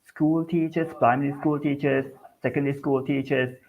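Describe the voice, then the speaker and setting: Gravelly-voiced man presenting a webinar. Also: mid-range voice, steady tone, normal speed, phone-quality sound. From Sabah, Malaysia. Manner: friendly, plain presenting with small restarts. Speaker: invited webinar speaker, English teacher. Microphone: video call.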